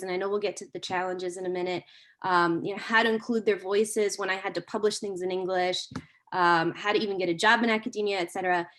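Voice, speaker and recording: A woman's voice: medium pitch, fairly bright tone. A woman speaking reflectively on a panel discussion and repeating phrases for emphasis. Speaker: panelist, academic. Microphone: video call.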